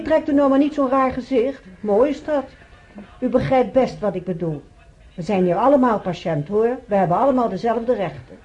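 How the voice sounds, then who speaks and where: An actress playing a demanding, touchy female hospital patient in a radio drama, husky and insistent. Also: fairly high pitch, darkish tone. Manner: querulous, insistent. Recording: muffled radio recording.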